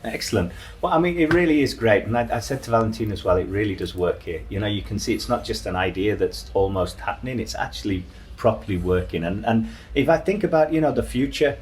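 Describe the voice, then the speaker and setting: A man's voice: on the low side, lively intonation, quick pace, few pauses. A man conducting a casual, conversational interview in a chatty manner, often affirming what is said.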